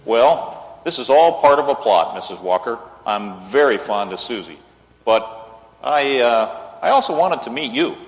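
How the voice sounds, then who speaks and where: A man's voice: mid-range voice, expressive, a little slow. A man playing a hesitant, courteous but frank neighbour in an old-time radio play. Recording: echoey reverberant hall.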